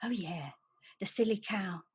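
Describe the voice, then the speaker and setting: A woman's voice: mid-range voice, a little slow, darkish tone, husky, dry recording, clean studio sound. A woman playing a coarse, furious female alter who swears and rants in a solo stage monologue. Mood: low menacing. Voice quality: swearing, brash. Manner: ranting, mocking.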